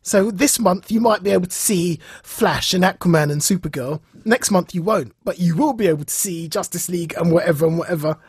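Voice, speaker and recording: A man's voice: high voice, brisk, hardly any pauses, husky, no room sound, clean studio sound. A man co-hosting a podcast, chatting casually and animatedly, often repeating phrases for emphasis. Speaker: podcast co-host. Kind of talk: casual chat about comics and film news.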